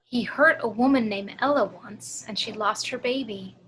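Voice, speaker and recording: A woman voicing a possessed, motherly woman with slow, deliberate, soothing menace in an amateur table read. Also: fairly high pitch, fairly bright tone, natural voice, poor audio. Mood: ominous, soothing. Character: sinister motherly woman possessed by a vengeful ghost.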